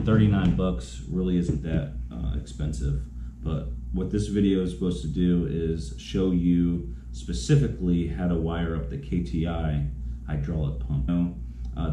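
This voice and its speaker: A man's voice: sits low, fairly even intonation, moderate pace. A young man explaining a repair in a casual, rambling way, with many fillers and restarts, filmed in a garage on a camera mic a few feet away. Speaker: small business owner demonstrating a repair. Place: garage, indoors. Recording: echoey garage with faint noise.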